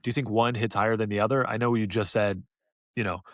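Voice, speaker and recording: A man's voice: on the low side, fairly even intonation, quick pace, no room sound. A man co-hosts a podcast in a loose, conversational way. His delivery is informal and full of joking banter, like someone chatting with a close friend. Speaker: podcast host. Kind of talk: casual podcast chat.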